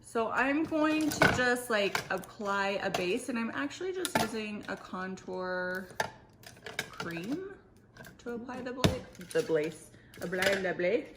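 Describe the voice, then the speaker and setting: A young adult woman chatting casually while doing her makeup, relaxed and joking. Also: mid-range voice. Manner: relaxed, joking, drawn-out speech. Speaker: makeup vlogger. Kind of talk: casual makeup tutorial chat. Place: indoor room at a makeup table. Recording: echoey room with faint noise.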